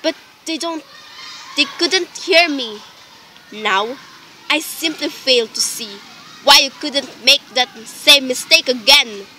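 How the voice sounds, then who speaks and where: Actress as nervous, imperious housewife in radio melodrama; very sharp high voice, slow delivery, faint noise. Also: female voice, exaggerated voice. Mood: exasperated. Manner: overwrought, imperious. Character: nervous, demanding invalid wife, alone and frightened. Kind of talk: melodramatic radio play acting. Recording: faintly noisy amateur recording.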